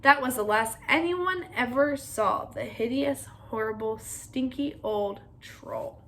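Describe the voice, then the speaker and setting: A woman's voice: a little high, slow, fairly bright tone, slight background noise. A woman reading a storybook aloud to children in an interactive narrating style.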